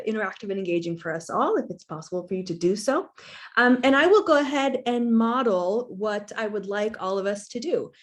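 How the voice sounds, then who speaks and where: A woman from the United States hosting a webinar over a video call, upbeat and welcoming, with frequent fillers. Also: mid-range voice. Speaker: webinar host, program manager.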